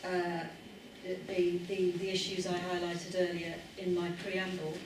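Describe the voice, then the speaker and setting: A woman's voice: fairly low pitch, monotone, measured pace. A woman speaking up at a public meeting, hesitant with many fillers. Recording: echoey hall with background noise, far off.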